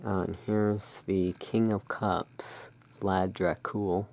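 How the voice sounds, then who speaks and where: Slow, low, creaky hushed ASMR voice. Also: flat delivery. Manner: hushed, soothing, unhurried. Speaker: host playing a gothic curiosity shop owner. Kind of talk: ASMR roleplay, showing tarot cards.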